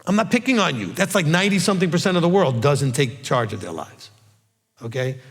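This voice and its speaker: A man's voice: on the high side, big pitch swings, a little fast, vocal fry, fairly bright tone. Emphatic man teaching, echoing room. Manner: emphatic, repeats "okay?" and "all right?". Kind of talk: informal Bible teaching talk.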